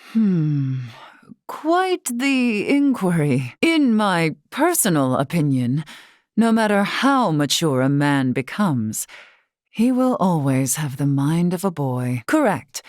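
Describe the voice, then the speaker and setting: A wise elder woman of a fantasy race, with a fairly low voice and a close, dry sound. She speaks in formal, old-fashioned phrasing, measured and courtly, with a gently teasing temper. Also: big pitch swings, dry recording, full character voice. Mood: sage, teasing. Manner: formal, measured, archaic wording. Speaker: voice actress in a video game. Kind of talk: scripted game character dialogue.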